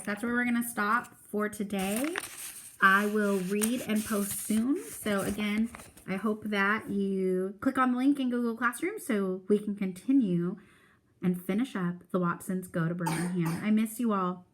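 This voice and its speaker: A woman's voice: medium pitch, unhurried, low-quality recording. A woman reading a children's novel aloud as a teacher would for a class, expressively and with character voices.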